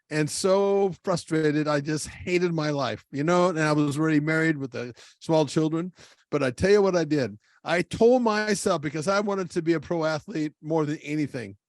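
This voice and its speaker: A middle-aged man hosting a podcast interview, chatty and self-deprecating, quick to laugh at himself. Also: fairly high pitch, no echo. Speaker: podcast host. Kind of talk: casual interview chat.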